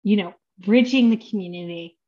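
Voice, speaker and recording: A woman's voice: mid-range voice, moderate pace. Chatty American woman host, dull sound. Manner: chatty, hesitant restarts, laughs between phrases. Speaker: podcast host. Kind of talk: podcast interview.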